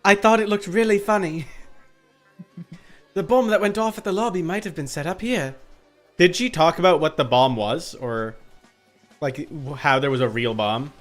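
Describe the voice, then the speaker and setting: A man's voice: fairly high pitch, moderate pace, long pauses, a bit bright. A man chatting casually and jokingly during a game livestream, breaking off from reading lines to banter. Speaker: co-streamer reading game dialogue. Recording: music playing behind the voice.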